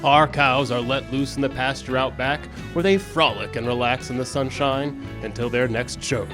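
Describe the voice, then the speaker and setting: A man's voice: mid-range voice, moderate pace, lightly acted. A man playing a cheerful, reassuring adman in a parody radio commercial. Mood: reassuring salesmanship. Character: cheerful adman plugging a ghoulish vegan steakhouse. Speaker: advertisement announcer. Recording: live park show with guitar music. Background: plucked guitar music.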